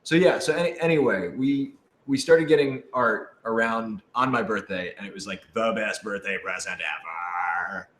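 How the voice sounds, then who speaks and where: A man talking casually as a cast member in a Q&A, gushing and excitable, with halting phrasing. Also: fairly low pitch, a little hiss or hum. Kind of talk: casual chat. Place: indoor office used for rehearsals. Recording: echoey room.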